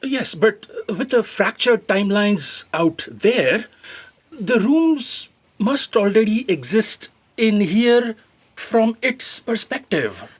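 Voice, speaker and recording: Woman as a timid, slow-spoken, lilting office aide. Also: mid-range voice, very animated, breathy edge, exaggerated voice. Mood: nervous explaining. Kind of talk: comic audio drama acting.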